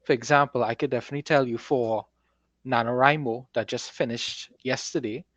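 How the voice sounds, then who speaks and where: A man's voice: mid-range voice, fairly even intonation, fairly quick, fairly bright tone, dry recording, highs cut off. A man co-hosting a casual podcast, explaining things in an encouraging way. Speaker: podcast co-host and author.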